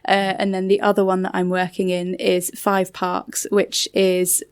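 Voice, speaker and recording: A woman is being interviewed on the radio and talks in a conversational manner. Her voice sits at an average pitch for a woman. She explains things clearly and keeps an upbeat tone. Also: completely flat delivery, a little slow. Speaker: interview guest, mental health charity health engagement officer.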